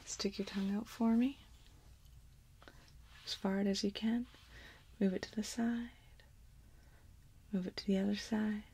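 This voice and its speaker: Breathy, whispering woman in ASMR role play. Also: mid-range voice, little pitch movement. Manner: slow, gentle instructions. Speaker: ASMR performer playing an examiner. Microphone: close ASMR microphone.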